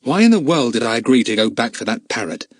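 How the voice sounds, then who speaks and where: A man playing a level-headed, rueful grown protector in an audiobook, measured and plain-spoken. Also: mid-range voice, very animated, no room sound, studio quality.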